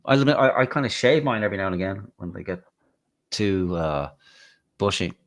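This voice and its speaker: A man's voice: low-pitched, expressive, brisk. An Irish man hosting a podcast in casual chat, crude and mocking, often breaking into laughter. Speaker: podcast host.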